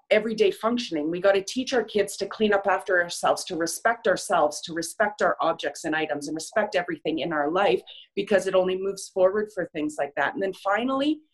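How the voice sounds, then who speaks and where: A woman with a medium-pitched voice shares personal reflections in a group session. She speaks candidly and conversationally, in a casual motivational style, thinking aloud about herself. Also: poor audio.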